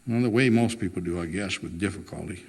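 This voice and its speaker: A man's voice: sits low, fast, gravelly, darkish tone, in a large hall, slight background noise, pitched below the performer's own voice. A man voicing a reluctant, plainspoken husband in a storybook reading, stubborn, wry and resigned. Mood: grudging.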